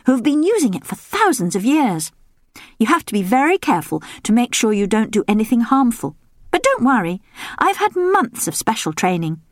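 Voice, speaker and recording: A woman doing a calm, bossy young princess. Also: a little high, no room sound, clean studio sound, voice pushed up. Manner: calm, matter-of-fact, explaining.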